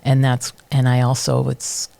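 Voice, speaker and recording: A woman's voice: extremely low pitch, monotone, measured pace, vocal fry, warm tone. A middle-aged woman from New Hampshire, a committee chair, answering interview questions casually and thoughtfully, with many ums and restarts.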